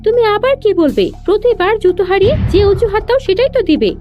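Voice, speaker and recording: A very high, animated cartoon mother voiced by a woman who nags and scolds her little boy. She sounds exasperated but caring, with faint music behind her. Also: fast, dull audio. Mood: scolding. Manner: nagging, exasperated.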